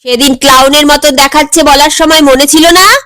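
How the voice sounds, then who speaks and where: A woman as a huffy, high-voiced school kid. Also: steady tone, moderate pace, bright, forceful tone, no echo, clean studio sound. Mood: huffy, taunting.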